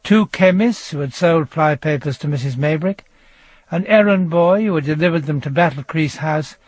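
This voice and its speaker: A man narrating a historic trial in a measured, dryly wry, scripted storytelling style. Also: fairly high pitch, slightly rough, no room sound. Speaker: narrator, presenter. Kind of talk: scripted true-crime narration.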